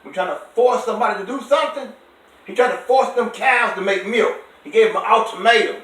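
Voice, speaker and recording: A high, bright-voiced man reads a bedtime story for a child. He is expressive and stops to quiz and explain words simply. The room echoes and the microphone sits at a distance. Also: a little fast. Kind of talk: animated read-aloud with explanations for a child.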